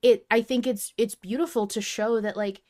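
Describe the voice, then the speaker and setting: A woman's voice: fairly high pitch, fairly even intonation, moderate pace, dark tone. Emphatic woman podcast co-host. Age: 30s. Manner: emphatic, earnest, talks in bursts. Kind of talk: casual fan discussion podcast.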